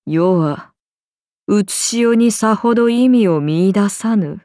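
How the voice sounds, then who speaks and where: An actress playing a haughty ancient serpent goddess in a video game, speaking in an imperious, archaic and languid way, amused and menacing. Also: female voice, mid-range voice, takes it slowly, mellow, dry recording, studio quality, lightly acted. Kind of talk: video game character voice lines.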